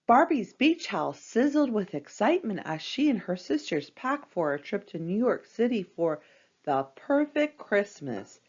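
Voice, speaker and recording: A woman narrating a scripted children's storybook in an expressive, dramatic read-aloud style for young listeners. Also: lowish voice, muffled recording.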